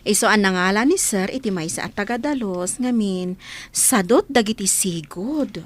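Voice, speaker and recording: A woman's voice: medium pitch, expressive, normal speed, close to an everyday voice. A woman with an Ilocano accent playing a nosy, reproving office accountant in a comedy radio drama. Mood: kindly advising. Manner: questioning, reproving. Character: stern nosy female office accountant, a superior.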